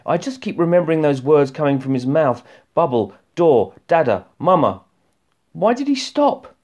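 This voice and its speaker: A man's voice: on the high side, sing-song, normal speed, a little mellow, voice pushed up. A man voicing an exasperated, weary wife and mother in a novel reading, in an emphatic manner. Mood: wistful. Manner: indignant, emphatic. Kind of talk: audiobook-style novel reading.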